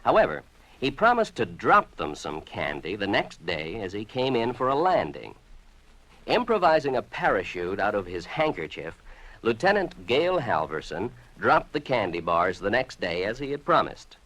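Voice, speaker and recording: A man's voice: on the low side, big pitch swings, normal speed, fairly bright tone, rough recording. An American man delivering a scripted, patriotic public service narration for radio in an announcer's manner.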